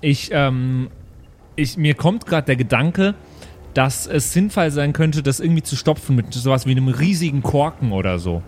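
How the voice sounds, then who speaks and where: A man's voice: medium pitch, animated, normal speed, a little mellow, soft background music. A man playing a tabletop role-playing game, speaking casually in character with earnest, naive-sounding reasoning.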